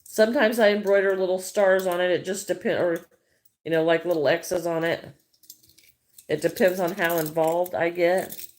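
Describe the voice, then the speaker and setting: A woman's voice: on the low side, fairly even intonation, moderate pace. A middle-aged Midwestern American woman chatting casually on a crochet livestream, greeting viewers by name and thinking aloud as she works.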